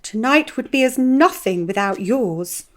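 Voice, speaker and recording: Devoted, protective female fan, gushing, high pitch, slow earnest delivery. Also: animated. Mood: adoring. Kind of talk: radio drama acting.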